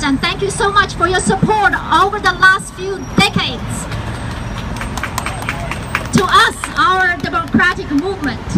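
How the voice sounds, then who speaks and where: A woman giving an impassioned speech at an outdoor street protest, speaking into a handheld microphone. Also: very high-pitched. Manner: impassioned, speaking out loud to a crowd. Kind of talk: rally speech. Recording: loud traffic noise outdoors.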